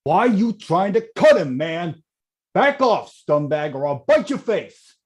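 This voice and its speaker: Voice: mid-range voice, sing-song, takes it slowly, slight background noise. An actor reading the part of a loyal, hot-headed young man at a table read. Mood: angry. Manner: agitated, shouting threats.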